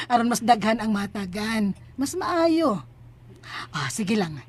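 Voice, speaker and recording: A generous, gentle madam and mother in a Filipino radio drama. The voice is slightly high with a breathy, husky grain. The accent is Filipino and the manner motherly. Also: big pitch swings, slow pace, exaggerated voice. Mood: cheerful, generous. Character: kind, gentle, generous middle-aged madam and worried mother.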